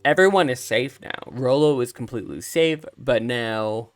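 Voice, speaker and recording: A man giving casual, animated game commentary as a let's play streamer, reacting aloud to the story. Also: medium pitch, moderate pace. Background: game music.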